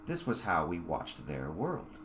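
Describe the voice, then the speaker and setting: A man's voice: low voice, wildly swooping pitch, a little slow, fairly bright tone, faint music behind. A man reading his own short story aloud as scripted narration.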